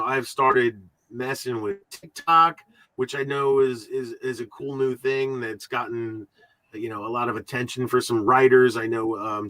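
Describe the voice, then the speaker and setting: A man hosting a podcast in casual, rambling, halting talk, often repeating his words and swearing freely. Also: mid-range voice, pushed and bright, no echo, rough recording. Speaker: podcast host.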